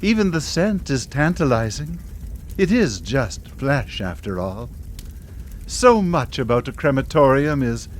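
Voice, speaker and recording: A woman's voice: extremely low pitch, sing-song, measured pace, a little hiss or hum, heavily acted. A woman acting a sly, bitter crematorium officer in a live radio horror play, savoring her words theatrically. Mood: eerie, savoring. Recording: live studio radio play, music behind.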